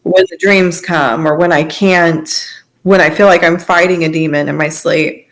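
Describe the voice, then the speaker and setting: A woman with a slightly low voice talks as a podcast guest. She gives personal testimony casually, in halting phrases that trail into one another. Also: steady tone, rough recording.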